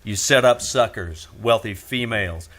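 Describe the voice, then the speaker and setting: Wry, forceful American private eye. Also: male voice, fairly low pitch, moderate pace. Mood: tough, commanding. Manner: sardonic, wisecracking. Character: wry, tough male private detective. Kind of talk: 1940s radio detective drama acting, first-person narration. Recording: old radio recording with music.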